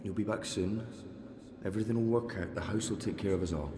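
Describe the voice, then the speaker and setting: Gentle father in a roomy space a man acting. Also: on the low side, a little fast, background noise, lightly acted. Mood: reassuring, subdued. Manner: gentle, reassuring. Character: tender, earnest young father, protective handyman of magic. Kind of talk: video game cutscene acting.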